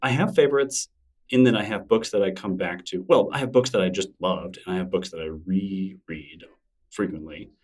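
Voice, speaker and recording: Low-voiced man musing in a rambling way. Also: moderate pace, recorded from a distance. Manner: thoughtful, rambling, with frequent fillers and restarts. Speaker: interview guest, newsletter creator. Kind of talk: casual conversational interview.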